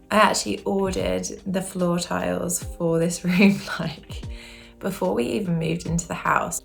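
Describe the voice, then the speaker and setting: Croaky chatty British woman. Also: on the low side. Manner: rambling, self-correcting, chatty. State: croaky throat. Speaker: home decor vlogger. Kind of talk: casual chat to camera.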